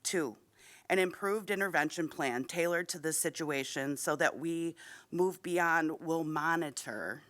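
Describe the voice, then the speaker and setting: A woman's voice: low-pitched, a little slow, fairly bright tone. A woman, a parent, speaking at a school board meeting into a podium microphone, reading a prepared statement in a formal, earnest way while slightly unwell. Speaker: parent giving public comment.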